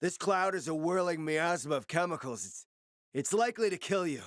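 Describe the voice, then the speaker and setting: A man's voice: on the high side, expressive, moderate pace, fairly bright tone, dry recording, studio quality. A man playing a cunning spymaster in a video game, speaking in a theatrical, urgent and sardonic way. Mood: resigned, sardonic. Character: cunning, theatrical male spymaster scheming over a radio. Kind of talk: dramatic video game voice acting.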